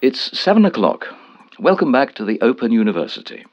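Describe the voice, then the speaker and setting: An actor playing a formal, measured television continuity announcer introducing educational programmes in an audio drama. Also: male voice, medium pitch, very animated, faint music behind. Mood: formal, matter-of-fact. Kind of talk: scripted broadcast continuity announcement. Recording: dull vintage broadcast sound.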